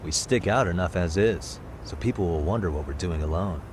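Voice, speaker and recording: A man acting a deep, cool detective. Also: fairly quick, background noise, natural voice. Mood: practical, wry. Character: cool, shrewd, dry-humored male detective. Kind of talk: English video game dub acting.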